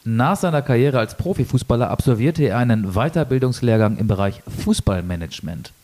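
A man with a medium-pitched voice co-hosts a relaxed football podcast. He reads quiz statements deliberately and teases his partner in an easygoing, conversational way. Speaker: podcast co-host, quizmaster. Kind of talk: casual football podcast chat.

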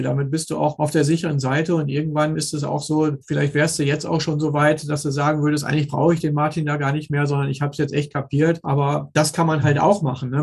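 A man's voice: on the high side, vocal fry, a little mellow, slightly rough. A man hosting a conversational interview as a mentor to a colleague, speaking in an affirming, complimentary way.

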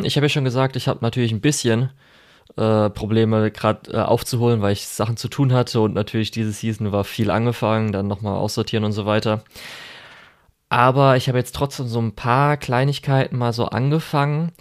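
A man's voice: medium pitch, steady tone, brisk. A man co-hosting a casual podcast chat, speaking in a rambling, hesitant way with lots of fillers and restarts. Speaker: podcast co-host.

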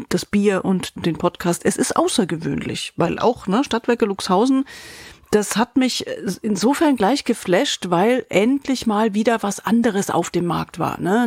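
A woman's voice: mid-range voice, darkish tone, slightly rough, no room sound. A woman hosting a podcast review in a casual, conversational way. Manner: conversational, acts out imagined quotes.

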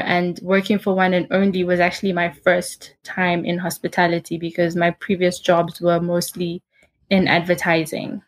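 A woman, a hotel marketing manager, answering interview questions in a steady, measured way with frequent ums. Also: on the low side. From South Africa.

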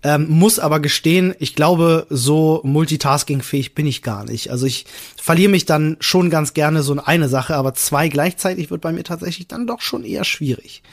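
A male podcast host with a somewhat high voice. He talks casually and wanders through his explanations, piling clause onto clause in an informal chat style. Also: moderate pace.

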